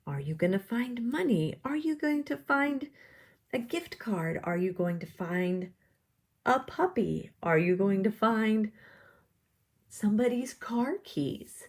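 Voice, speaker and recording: A woman's voice: fairly high pitch, animated, takes it slowly, a little mellow. A woman art teacher giving step-by-step drawing instructions in simple, encouraging language, often putting questions to the viewer.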